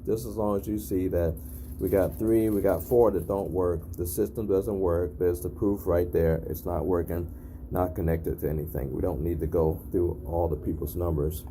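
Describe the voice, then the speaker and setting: A man's voice: deep voice, fairly even intonation, moderate pace, warm tone, a little hiss or hum. A man giving a casual on-site demonstration, matter-of-fact and repetitive, often checking in with short tag questions. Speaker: narrator documenting an intercom test. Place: at a building's entry intercom panel.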